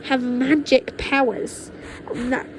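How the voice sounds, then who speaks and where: A woman voicing a sinister, witchy old grandmother in a storybook, menacing and secretive, with a drawn-out delivery. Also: flat delivery. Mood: sinister boasting. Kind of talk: storybook character voice in an audiobook reading. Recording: noisy low-quality home microphone.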